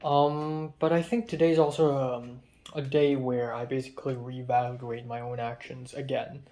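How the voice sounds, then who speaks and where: A young man with a Hong Kong English accent and a raspy, muffled voice, talking in a rambling, self-deprecating way in a confessional vlog, with many um fillers. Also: mid-range voice, little pitch movement, normal speed, dark tone. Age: young adult. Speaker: vlogger.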